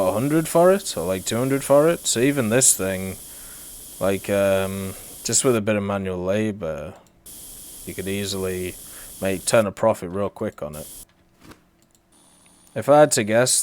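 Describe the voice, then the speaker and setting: A young British man whose accent has softened over years in Canada, chatting casually while streaming a game and answering chat. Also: lowish voice. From Britain. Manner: laid-back, rambling, answers chat. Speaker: livestreamer. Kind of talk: casual gameplay commentary.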